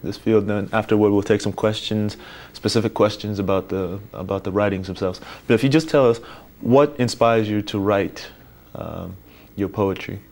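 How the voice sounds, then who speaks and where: A man from Nigeria moderating a panel discussion, speaking haltingly with frequent fillers. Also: fairly low pitch, darkish tone.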